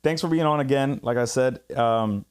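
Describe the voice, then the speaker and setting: A man's voice: mid-range voice, normal speed, smooth. A man from Puerto Rico hosting a casual studio podcast interview, joking, cursing freely and often restarting his sentences. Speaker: podcast host. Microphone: studio mic.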